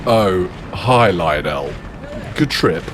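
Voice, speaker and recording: A man's voice: low voice, slow pace. A man doing a preachy indignant warlord demigod. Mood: smug. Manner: preachy, indignant, lectures earnestly. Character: self-righteous male demigod warlord turned activist. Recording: steady background noise.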